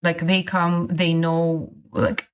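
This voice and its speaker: A middle-aged woman from Russia, a guest on a podcast interview, talking in halting phrases with many restarts. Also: fairly low pitch, level tone, clear, resonant tone. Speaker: guest, immigration consultant.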